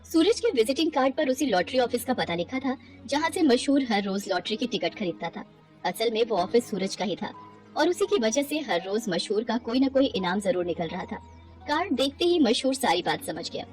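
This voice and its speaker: Lady storyteller for a kids cartoon, highish voice, very animated, expressive, soft music behind. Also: moderate pace. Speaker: story narrator.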